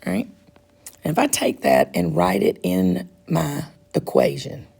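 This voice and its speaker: A woman with a congested, raspy, froggy throat, patiently walking students through a math quiz step by step as their teacher. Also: deep voice, huge pitch swings, unhurried, darkish tone. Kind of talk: recorded math lesson walkthrough.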